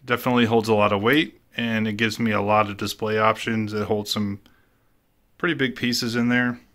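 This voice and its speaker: Brief: man with a lowish voice, relaxed collector walkthrough, matter-of-fact, lots of "uh"s. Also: moderate pace. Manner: plain, matter-of-fact, with frequent "uh" fillers. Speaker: statue collector giving a room tour. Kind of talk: casual voice-over room tour.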